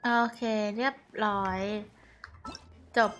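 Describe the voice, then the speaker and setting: A woman chatting casually and excitably as she live-streams a game. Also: very fast.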